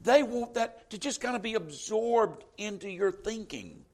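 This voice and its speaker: A man's voice: high-pitched, expressive, a little slow, darkish tone. Southern-accented male pastor preaching. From Florida, US. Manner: conversational preaching with frequent "you know". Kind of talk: sermon.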